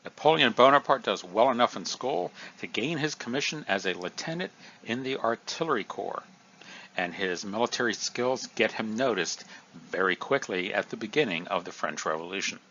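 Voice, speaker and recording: A man teaching a recorded history review lesson, explaining in a lively, emphatic way. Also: on the low side, normal speed, highs cut off, slight background noise.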